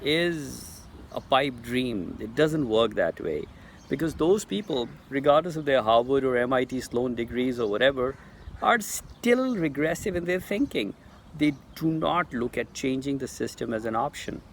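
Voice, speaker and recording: Gravelly Pakistani man with an insistent tone. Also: mid-range voice, lively intonation, measured pace, a little hiss or hum. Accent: Pakistani English. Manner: earnest, insistent, addresses Americans directly. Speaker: political commentator. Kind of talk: direct-to-camera monologue.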